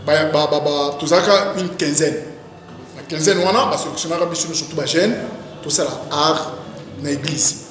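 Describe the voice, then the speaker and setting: A man with a Congolese accent, an actor being interviewed, talking casually in an animated, rambling way and repeating his phrases. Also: on the high side, normal speed. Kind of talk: casual interview, recounting career. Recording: very echoey reverberant room, music behind. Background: music.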